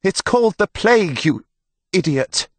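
A man's voice: high voice, big pitch swings, measured pace, no echo, voice pushed up, full character voice. A man voicing a pompous, scornful young castle herald in an audiobook, easily offended and full of his own rank. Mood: indignant.

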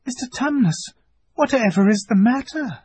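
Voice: medium pitch, animated, normal speed, a little mellow, breathy edge, voice pushed up. A reader voicing a kind young girl who speaks with pleading concern. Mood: distressed. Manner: pleading, distressed. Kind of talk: audiobook character voice.

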